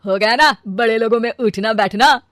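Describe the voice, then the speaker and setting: A woman's voice: fairly high pitch, normal speed, fairly bright tone, no room sound, studio quality, lightly acted. A woman voicing a boastful young husband in a cartoon, a shameless liar who glibly justifies himself. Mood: glib, defensive. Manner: glib, hesitates then brazenly justifies himself. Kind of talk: animated cartoon dialogue.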